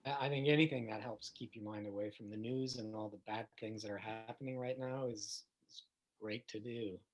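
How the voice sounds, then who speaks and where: A man's voice: on the low side, fairly even intonation, moderate pace, natural voice, poor audio. A man playing a proud, appreciative member of a school community in documentary theater, sincere and conversational. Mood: proud, thankful.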